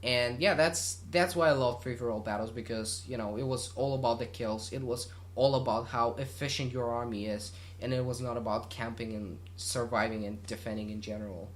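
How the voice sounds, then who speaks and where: A man's voice: medium pitch, fairly even intonation, a little fast, few pauses. A man from Bulgaria, a native Bulgarian speaker, hosting his own gaming channel and chatting loosely through viewer questions with lots of fillers and restarts. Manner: rambling, many fillers and restarts. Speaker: YouTube gaming channel host. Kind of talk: casual Q&A monologue.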